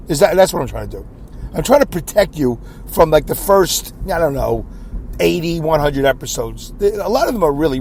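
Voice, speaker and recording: A man hosting his own podcast with a scratchy voice, rambling in a self-deprecating way and clearing his throat often. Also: a little high, a little mellow. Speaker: podcast host. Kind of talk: solo rambling podcast monologue. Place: inside a car.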